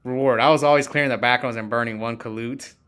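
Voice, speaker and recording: A man's voice: mid-range voice, fairly even intonation, fast, fairly bright tone, clean tone. A man casually commentating a card game he is playing live, thinking aloud and chatting with viewers as he goes.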